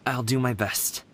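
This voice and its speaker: A man's voice: mid-range voice, background noise, full character voice. A man playing a friendly, earnest but self-doubting young man in a video game, with a casual, hesitant delivery. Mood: sheepish.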